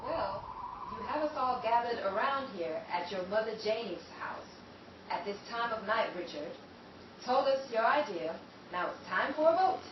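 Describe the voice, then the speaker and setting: A woman's voice: fairly high pitch, lively intonation, normal speed, lo-fi sound, close to an everyday voice. A woman playing an insistent, commanding in-law in an amateur radio play, speaking in a firm, cutting manner. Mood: firm, impatient. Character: insistent, commanding female in-law of a plotting family. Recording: very echoey, noisy, distant microphone.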